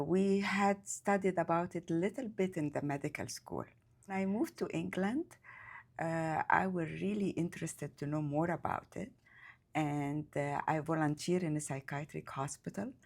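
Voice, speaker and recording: A woman's voice: low voice, moderate pace, gravelly, a little mellow. A woman in her fifties from Egypt, speaking English. She answers interview questions as a psychiatrist, hesitant, with many fillers and grammar. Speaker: psychiatrist guest.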